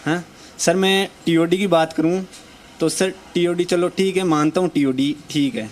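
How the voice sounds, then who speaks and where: A man's voice: a little high, a little slow, a little hiss or hum. A man in his twenties, an army aspirant, speaking passionately and pleading in a street interview. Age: 20s. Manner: impassioned, pleading.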